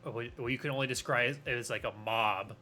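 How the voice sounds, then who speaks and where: A man's voice: mid-range voice, steady tone, quick pace, bright, forceful tone, slight background noise. A young man running a tabletop game as game master, rambling with plenty of fillers and restarts. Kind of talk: casual tabletop game narration.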